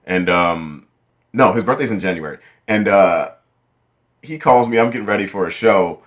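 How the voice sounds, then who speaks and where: A man's voice: low-pitched, normal speed, fairly bright tone. A man in his thirties hosting a podcast, chatting casually and joking, often laughing and acting out what people said. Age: 30s. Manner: joking, laughs often, acts out quoted voices. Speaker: podcast host. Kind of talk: casual chat.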